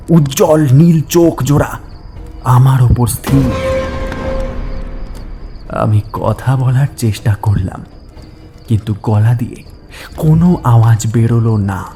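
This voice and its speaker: A man narrating a horror story in the first person, suspenseful and dramatic, with halting pauses. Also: a little high, a little mellow.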